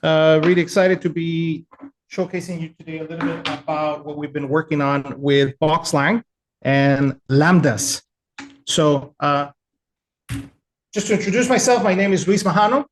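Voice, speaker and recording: A man from El Salvador giving a relaxed, conversational technical webinar with frequent fillers. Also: a little high, normal speed, reverberant, slight background noise. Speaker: webinar presenter.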